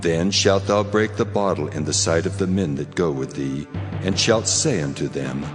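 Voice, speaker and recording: A solemn, commanding God as acted by a man pronounces judgment in a dramatized scripture reading. The voice is very deep and grave, ringing in a long cavernous reverb with faint music underneath. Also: normal speed, natural voice. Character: God, solemn stern male deity passing judgment.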